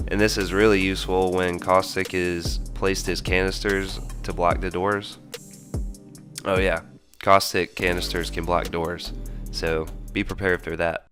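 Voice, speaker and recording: A young man hosting a gaming tips channel, explaining game mechanics step by step in a steady voice, still stuffy from a cold. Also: sits low. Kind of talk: scripted game tips voice-over. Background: background music.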